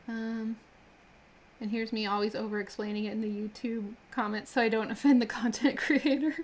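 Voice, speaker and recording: A woman's voice: on the high side, level tone, measured pace, pauses often, slight background noise. A woman chatting casually while she builds miniatures on a live stream, laughing often and talking with many fillers and restarts. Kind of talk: casual chat while crafting.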